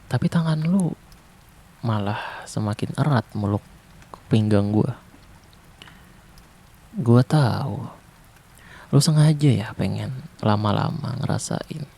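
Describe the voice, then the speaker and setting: A young man doing a soft, intimate, teasing ASMR boyfriend roleplay. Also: medium pitch, creaky, breathy edge.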